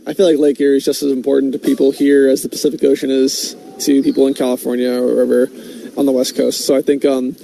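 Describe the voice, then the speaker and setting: A young pitcher in his twenties with a gravelly, flat, mellow voice who answers haltingly, full of "I mean" and "I think." He speaks outdoors, with light ambient noise and birds behind him. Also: poor audio. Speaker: pro baseball pitcher as guest. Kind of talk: casual interview answers.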